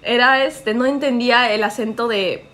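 A woman's voice: fairly high pitch, fairly even intonation, normal speed, sharp tone. A young woman from Japan chatting casually on a live stream in a small living room, laughing often and reading out viewer comments. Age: young adult.

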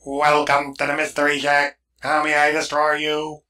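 Evil cartoon robot guide, monotone n bright, bit distant. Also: male voice, fairly high pitch, normal speed, faint music behind. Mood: flatly menacing. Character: menacing robot tour guide, stiff and hostile. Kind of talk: fan cartoon voice acting.

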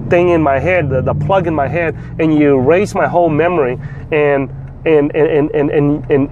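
A man's voice: a little high, muffled recording. A man from South Vietnam in his forties, talking bluntly to camera from a parked car, swearing freely and often repeating words as he restarts. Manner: blunt, swears freely, animated hand gestures. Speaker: vlogger and trader. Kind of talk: casual talk to camera. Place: inside a parked car, outdoors. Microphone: phone or camera at arm's length with a lapel mic. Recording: faint car and street noise.

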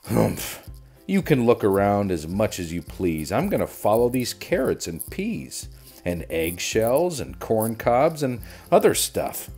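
A man's voice: fairly low pitch, big pitch swings, takes it slowly, a little mellow, husky, soft background music, full character voice. A man voicing an overconfident papa bear detective from a storybook, boastful and emphatic. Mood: dismissive, boastful.

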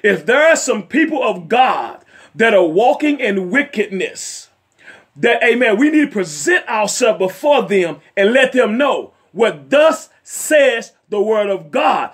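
A middle-aged male evangelist with a raspy voice, preaching fervently from a chair in his living room and sprinkling in amens and hallelujahs. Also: high-pitched, very animated, a little slow, fairly bright tone. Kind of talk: home sermon and Bible study. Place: home living room, seated in a leather chair.

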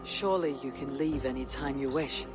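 A woman's voice: rumbling low, moderate pace. An actress playing a stoic, loyal Viking warrior woman in a dramatic game cutscene. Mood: quiet, reflective. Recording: loud background music under dialogue.

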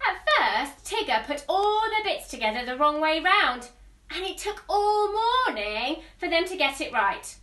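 A young woman reading a children's storybook aloud, expressive and sing-song, with asides to young listeners. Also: sits high, a little slow. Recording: roomy echo, distant microphone.

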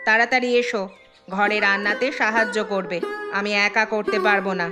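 Cartoon scheming elder wife, acted by a woman: sharp-tongued, domineering, medium pitch over music. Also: steady tone, a little fast, natural voice. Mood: bossy. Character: cunning, greedy, bossy elder sister-in-law.

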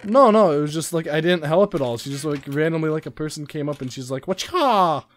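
A man hosting a gaming video, chatting and shouting excitedly with friends on a voice call. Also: on the high side, brisk, a little hiss or hum. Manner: loud, excitable, yelling during fights. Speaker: gaming channel host.

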